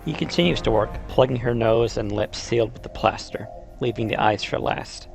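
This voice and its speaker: A man's voice: medium pitch, normal speed, creaky, soft background music. A man narrating a video essay about games, mixing storytelling with casual, conversational asides. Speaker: YouTuber, video game explainer. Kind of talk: video essay narration, semi-scripted retelling and commentary.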